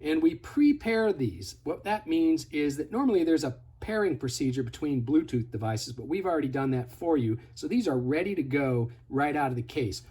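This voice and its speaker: Slightly husky man demonstrating a product. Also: mid-range voice, a little mellow. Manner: step-by-step, instructive, conversational. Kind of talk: casual product tutorial, hands-on demonstration.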